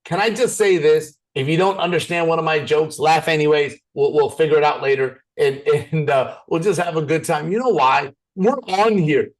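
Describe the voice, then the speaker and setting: A man's voice: a little high, moderate pace, few pauses. A man from Canada, probably in his thirties, giving a chatty, joking business presentation over a video call. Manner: chatty and joking, goes off on tangents.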